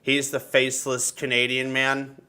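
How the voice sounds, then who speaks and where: A man hosting a casual, excitable podcast chat, informal and sweary in his talk. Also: mid-range voice, moderate pace, smooth. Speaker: podcast host.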